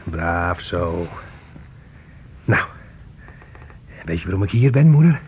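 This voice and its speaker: A man's voice: low voice, very animated, slow, a little hiss or hum, exaggerated voice. An actor playing a menacing, bitter grown son who taunts and bosses his mother around in a radio play. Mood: taunting. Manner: taunting, bossy, mock-friendly. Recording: muffled old radio play recording.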